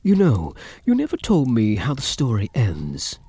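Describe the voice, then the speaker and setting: A man performs a possessive, doting vampire count in an intimate ASMR roleplay. The voice is dark and breathy, murmured slowly and slipping often into whispers, with tenderness under the menace. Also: medium pitch, huge pitch swings, no room sound, full character voice. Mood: gentle, reassuring. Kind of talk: soft-spoken ASMR roleplay.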